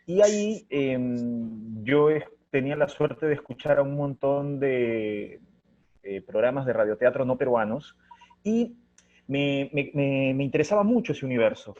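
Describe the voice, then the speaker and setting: A man's voice: medium pitch, expressive, measured pace, darkish tone. Reflective Peruvian man, dull lo-fi sound. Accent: Peruvian Spanish. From Chiclayo, Peru. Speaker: theatre artist and interview guest. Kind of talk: conversational interview answers. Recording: dull, lo-fi audio.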